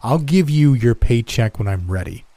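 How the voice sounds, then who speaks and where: A man voicing a bullying older male diner owner who yells, curses and sneers. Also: medium pitch, expressive, slow pace, no room sound, heavily acted. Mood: scornful. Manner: yelling, cursing, contemptuous.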